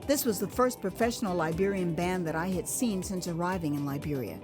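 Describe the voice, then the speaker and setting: An American woman narrating her own memoir as an audio drama, in an expressive, theatrical way with pauses. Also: on the low side. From Texas, United States. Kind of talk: dramatized first-person audio memoir storytelling. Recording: music behind the voice. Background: music.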